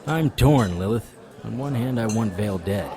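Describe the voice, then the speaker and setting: A man's voice: fairly low pitch, expressive, normal speed, over music. An actor playing a hard-bitten male monster hunter, narrating noir-style in first person with dry, wry delivery. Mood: wary.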